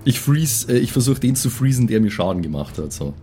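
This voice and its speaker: A man's voice: mid-range voice, big pitch swings, brisk, warm tone. A man chats casually as a player in a tabletop role-playing game. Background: background music.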